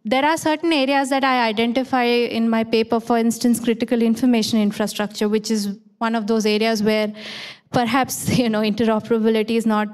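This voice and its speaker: A woman panelist answering an audience question at an academic conference, measured and thoughtful, often pausing to restate herself. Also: on the high side, little pitch movement, darkish tone, smooth. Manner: measured, self-correcting, thoughtful. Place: conference hall. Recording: reverberant hall.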